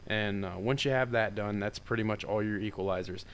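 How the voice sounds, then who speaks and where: A man with a deeper voice talking through a software tutorial in a loose, rambling way, full of fillers. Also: a little fast, slight background noise. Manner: rambling and informal, with many fillers. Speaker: tutorial maker. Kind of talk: casual screen-recorded software tutorial.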